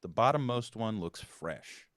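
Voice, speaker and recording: A man's voice: lowish voice, animated, measured pace, no echo, studio quality. A man giving scripted narration, reading out the stage directions at a table read.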